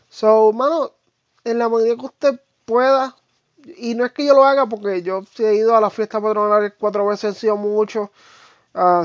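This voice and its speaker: Puerto Rican vlogger, informal and opinionated, halting slangy delivery, close dry sound with muffled lo-fi quality. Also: mid-range voice, no echo. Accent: Puerto Rican Spanish. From Puerto Rico. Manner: informal, halting, peppered with slang and English fillers. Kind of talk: casual, opinionated monologue to viewers.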